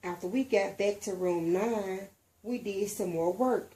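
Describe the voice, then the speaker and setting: Female storybook reader, unhurried and expressive, with a soft mellow tone. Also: medium pitch, low-quality recording. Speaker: reader, mother. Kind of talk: expressive storybook reading aloud.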